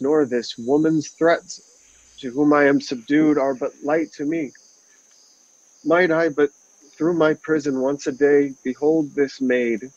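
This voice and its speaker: A man's voice: mid-range voice, darkish tone, no echo, dull audio. A man playing a lovestruck young prince, defiant yet yielding, earnestly declaiming in a Shakespearean audio drama.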